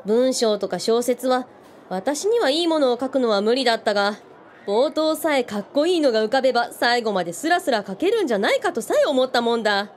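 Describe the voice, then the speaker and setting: An actress playing a tough, bookish woman who talks bluntly in rough, mannish words, in a comic radio drama. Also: a little high, expressive, a little fast, a little hiss or hum, natural voice. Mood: opinionated, enthusiastic. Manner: blunt, teasing, casual rough wording.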